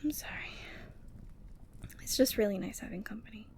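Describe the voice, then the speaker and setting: A woman voicing an affectionate, teasing but lonely yeti girl in an ASMR roleplay, tender and coaxing with breathy sighs. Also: mid-range voice, moderate pace, a little mellow, natural voice. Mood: sleepy, tender. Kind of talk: ASMR roleplay audio drama.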